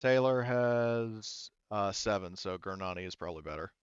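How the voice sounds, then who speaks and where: Clear-voiced man refereeing a tabletop game. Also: fairly low pitch, dry recording, dull audio. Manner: halting, thinking aloud while checking rules. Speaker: game master.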